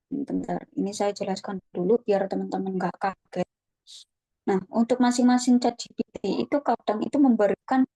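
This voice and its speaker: A woman presenting a casual online tutorial, relaxed and chatty, often thinking aloud with fillers. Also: on the high side, no echo, poor audio. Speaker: webinar presenter.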